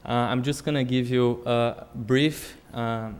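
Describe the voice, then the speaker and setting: Young brazilian guy, portuguese native, smooth clear voice, lots of uhs, echoey meeting hall. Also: mid-range voice, steady tone, takes it slowly. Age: 20s. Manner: informal, many "uh" fillers, storytelling. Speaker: alumnus speaking at public comment. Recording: long reverb in meeting hall.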